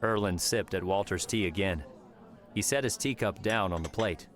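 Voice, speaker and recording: Low-voiced male audiobook narrator. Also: normal speed, some chatter behind. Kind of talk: scripted fiction narration. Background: soft background music.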